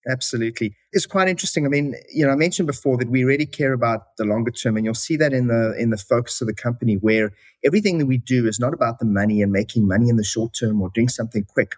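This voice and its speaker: South african guy talking thoughtfully in an interview, dry close sound, middle pitch. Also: fast, hardly any pauses, a little mellow, dry recording. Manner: reflective, with many fillers like "you know". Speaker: company chief executive, guest. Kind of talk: interview answers.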